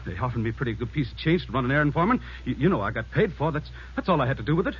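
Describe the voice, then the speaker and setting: An actor playing a nervous small-time crook who stammers and pleads, in 1950s radio drama style, on a dull lo-fi old radio broadcast with music. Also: male voice, medium pitch, expressive, rapid-fire, slight background noise, natural voice. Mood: frightened. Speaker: suspect.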